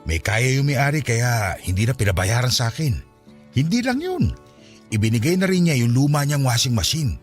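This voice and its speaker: A man's voice: medium pitch, very animated, normal speed, faint music behind, lightly acted. A man playing a cheerful, caring working-class husband in a radio drama, easygoing and reassuring. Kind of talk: Filipino radio drama acting.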